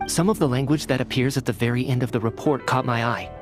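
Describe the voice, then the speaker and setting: An actor playing an earnest, careful young male researcher in a video game, speaking in a deliberate, articulate way as he reasons aloud. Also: mid-range voice, music in the background, lightly acted. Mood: analytical. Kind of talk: anime-style video game cutscene acting.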